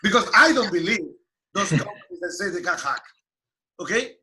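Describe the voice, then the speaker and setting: A man from Peru with a Spanish accent, speaking non-native English as a company founder telling his story in an interview, with animated, broken phrasing. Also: sits high, normal speed. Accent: Peruvian Spanish. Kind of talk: casual interview answers.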